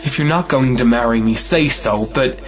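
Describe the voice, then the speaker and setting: A man's voice: mid-range voice, moderate pace, faint music behind, lightly acted, poor audio. Jealous, anguished young suitor, played by a man. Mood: shocked, pleading. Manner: earnest, anguished. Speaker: actor in an audio play. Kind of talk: melodramatic audio drama acting of a 1917 play.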